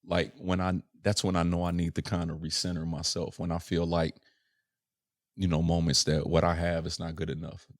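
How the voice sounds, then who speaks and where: A man's voice: deep voice, monotone, moderate pace, no echo, clean studio sound. A young adult man from Chicago, a rapper talking as a podcast guest in an earnest, reflective way, often checking in with "right?". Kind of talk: casual reflective interview chat.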